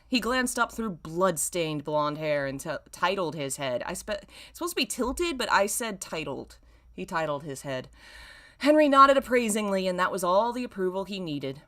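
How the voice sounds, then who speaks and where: Low-voiced woman reading with wry asides. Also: fairly bright tone. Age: 30s. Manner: self-mocking, wry, theatrical emphasis when reading. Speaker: streamer reading own old fan fiction.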